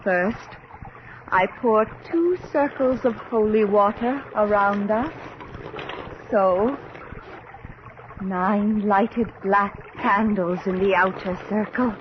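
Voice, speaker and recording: An actress playing a solemn, eerie witch who gives deliberate warnings and incantations in a horror radio drama. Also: medium pitch, close to an everyday voice. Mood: ominous. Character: solemn, eerie witch conducting a dangerous night-time séance. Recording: dull, noisy old radio drama tape.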